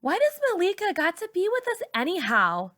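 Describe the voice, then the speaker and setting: A snide, catty teenage girl, as a woman puts her on, pitched high above the reader's usual voice. She sneers in a teasing way, and the sound is bright, close and dry. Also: huge pitch swings, moderate pace, no room sound, heavily acted.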